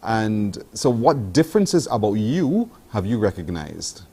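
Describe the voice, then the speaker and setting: A man's voice: fairly low pitch, very animated, unhurried, dark tone, breathy edge. A man hosting a morning show, asking probing, conversational questions. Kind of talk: interview questions.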